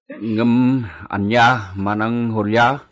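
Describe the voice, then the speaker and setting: A man's voice: medium pitch, steady tone, extremely slow. An actor playing a concerned, inquiring village man with an Ilocano accent in a radio drama.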